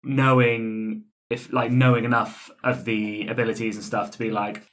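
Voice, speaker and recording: A man's voice: fairly low pitch, level tone, moderate pace, fairly bright tone, low-quality recording. A man who plays tabletop games, speaking informally in a post-game chat.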